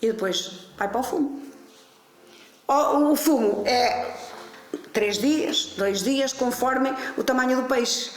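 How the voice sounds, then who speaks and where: An older woman in her seventies speaks with a fairly high voice. She explains her craft step by step, hesitating and repeating words as she goes. The sound carries a clear echo from the room. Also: takes it slowly, long pauses. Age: 70s. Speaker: interviewee, traditional fish curer. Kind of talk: documentary interview. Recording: echoey room.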